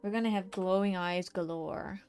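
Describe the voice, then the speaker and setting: Soft-murmuring woman streamer. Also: mid-range voice, fairly even intonation, moderate pace, smooth, faint music behind. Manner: soft, murmuring to herself, often half-whispered; chatty, reacting to chat with laughs. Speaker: streamer painting live. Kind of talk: casual chat while drawing.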